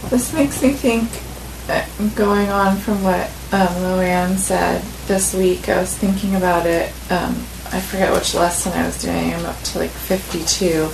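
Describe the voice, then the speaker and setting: A woman's voice: lowish voice, steady tone, a little slow, vocal fry, reverberant, noisy background. A woman in a spiritual study group, sharing her thoughts hesitantly with many fillers. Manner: hesitant, many ums and likes.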